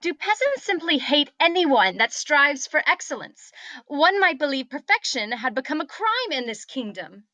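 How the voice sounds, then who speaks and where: A woman's voice: high voice, sing-song, normal speed, sharp tone, no echo, heavily acted. A woman voicing a proud, defiant young princess with imperious, formal phrasing in a script table read, on a muffled microphone. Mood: indignant.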